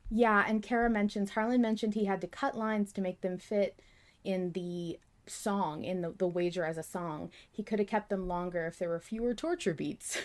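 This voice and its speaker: A woman's voice: medium pitch, fairly even intonation, moderate pace. A woman hosting a podcast in casual fan discussion, thinking aloud and hedging as she goes. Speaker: podcast host.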